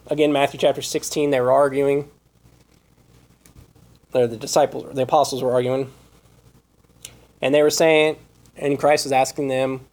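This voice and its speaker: Slightly high-voiced man preaching scripture. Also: a little mellow. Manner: reads scripture aloud, rhetorical questions. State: losing his voice. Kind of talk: Bible study sermon.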